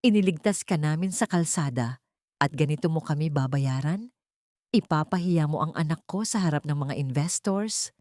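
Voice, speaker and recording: A woman's voice: low-pitched, moderate pace, a little mellow, no room sound, lightly acted. A woman narrator plays a venomous old matriarch from an audio melodrama. She scolds and accuses with scornful authority, laying on guilt. The recording is close and dry. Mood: accusing, venomous. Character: cruel, domineering elderly mother-in-law, venomous and scornful.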